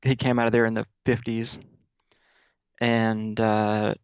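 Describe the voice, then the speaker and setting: A man from Mississippi, a guest in an interview, telling stories in a relaxed way with many fillers over a video call. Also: fairly low pitch, slow pace, clean tone, no room sound, dull audio. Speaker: guest, audio software developer.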